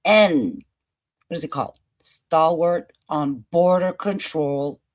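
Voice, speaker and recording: A woman's voice: deep voice, animated, slow. A woman with a French accent, hosting a casual commentary show and chatting playfully about news clips. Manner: chatty, playful, laughs and muses aloud. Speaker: host.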